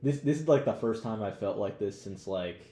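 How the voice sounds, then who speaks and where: Mellow, echoey young American man podcasting. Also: on the low side, normal speed. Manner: slangy, blunt, profane. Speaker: podcast co-host. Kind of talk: casual opinionated podcast chat. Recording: roomy echo, background noise.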